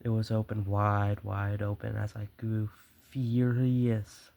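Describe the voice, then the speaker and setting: A man playing a gloating, obsessive madman in a horror story, with a gravelly voice and theatrical, drawn-out emphasis. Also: on the low side, monotone, darkish tone, highs cut off. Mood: tense, ominous. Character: gloating, obsessive madman confessing his murderous stalking.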